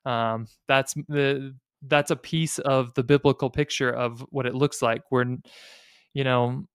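An American man talks as a podcast host, explaining ideas in a thoughtful, measured way. His voice is smooth and mid-pitched, and it sits close on a studio mic with very little room sound. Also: fairly even intonation, no room sound. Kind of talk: explanatory podcast talk on personal finance and faith. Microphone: close studio mic on a boom arm.

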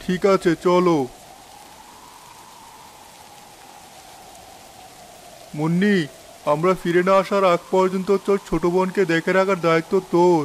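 A man's voice: high-pitched, level tone, fairly quick, a little hiss or hum, close to an everyday voice. A man acting a determined, protective village fisherman father in a cartoon drama, with an emphatic delivery. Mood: resolute. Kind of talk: dramatic Bengali animated story acting. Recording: faint rain and storm ambience.

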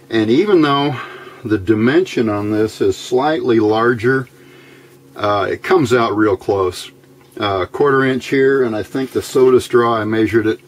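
A man giving an informal hands-on electronics tutorial in a plain-spoken, halting way, recorded at an indoor workbench. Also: fairly low pitch. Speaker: electronics hobbyist demonstrating a build.

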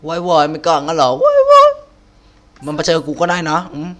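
A man's voice: a little high, huge pitch swings, fairly quick, sharp tone, pitched above the performer's own voice, heavily acted. A man voicing a haughty, dismissive female shogun, reading game dialogue aloud in an imperious, taunting manner.

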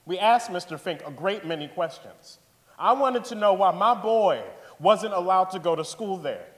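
High male voice, earnest father on the witness stand, played by an actor, stage drama, long hall reverb. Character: earnest father testifying in an 1890s school case. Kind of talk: staged dramatic reading of court testimony. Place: large theater stage, indoors.

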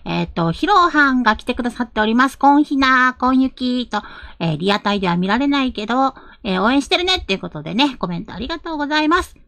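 A woman's voice: sits high, expressive, quick pace, vocal fry, few pauses, a bit bright, no room sound. A woman co-hosting a casual live stream and chatting with viewers. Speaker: co-host streamer reading viewer comments.

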